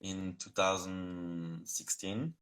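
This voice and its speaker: A young man from France with a French accent, answering interview questions in English over a video call, halting and searching for words. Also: sits low, fairly even intonation, fairly bright tone. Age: young adult. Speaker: guest.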